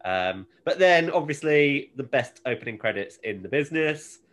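A man co-hosting a podcast, telling the story of an episode in an informal, halting, conversational way. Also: medium pitch, lively intonation, a bit bright. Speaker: podcast co-host. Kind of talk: casual episode recap, conversational.

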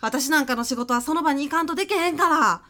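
Woman acting a bright, high-pitched Kansai mother-in-law. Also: quick pace. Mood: curious, admiring. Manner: chatty, folksy, interjecting. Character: chatty, nosy, cheerful country mother-in-law, middle-aged. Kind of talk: comic radio drama acting.

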